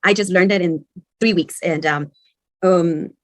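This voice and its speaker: Halting woman from Mexico on a call. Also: lowish voice, noisy background. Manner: halting, repeats words, laughs lightly. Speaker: course participant. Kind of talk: self-introduction on a video call. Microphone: video call.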